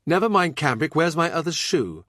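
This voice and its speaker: A defiant young noblewoman, voiced by a male audiobook reader, pitched well up. She is scornful and hot-tempered, with a haughty, emphatic edge, in a dry recording. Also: big pitch swings, moderate pace, a bit bright, dry recording.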